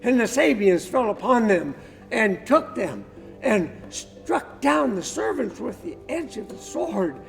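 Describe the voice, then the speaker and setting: Guy playing a bible messenger with bad news, high swingy voice, animated, in a big hall. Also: slow pace, vocal fry, heavily acted. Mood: urgent news. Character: messenger bringing news of disaster to Job. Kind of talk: church class Bible drama, recited scripture. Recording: reverberant hall, faint music behind.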